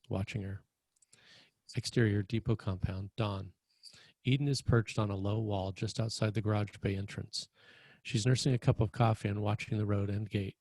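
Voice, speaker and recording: A man's voice: fairly low pitch, a little mellow, dry recording. A man reading screenplay stage directions as narrator, in a plain, descriptive manner.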